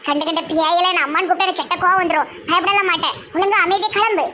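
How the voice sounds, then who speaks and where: Woman as a high-pitched, fretful village mother. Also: fairly even intonation, rapid-fire, bright, forceful tone, a little hiss or hum. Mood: panicked. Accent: southern Tamil Nadu. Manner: nagging, exclamatory, lamenting. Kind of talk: comic family skit.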